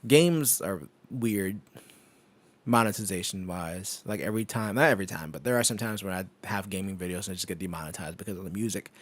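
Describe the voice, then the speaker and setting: A man with a low voice chatting casually on a home live stream, rambling with many fillers and frequent laughs, into a microphone on an arm. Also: a little fast. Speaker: tech streamer. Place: home desk setup. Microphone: microphone on an arm through an audio interface.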